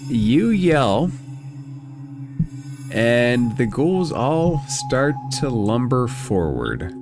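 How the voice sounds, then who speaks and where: A man's voice: medium pitch, very animated, very slow, music in the background. A man narrating a tabletop role-playing game as the game master.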